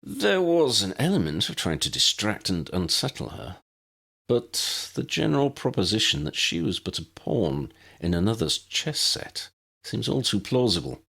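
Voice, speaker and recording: A man's voice: fairly low pitch, very animated, moderate pace, vocal fry, pushed and bright, husky, exaggerated voice. A man voicing an articulate, wry advisor in an audiobook, speaking in a wordy, formal manner. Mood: measured, formal.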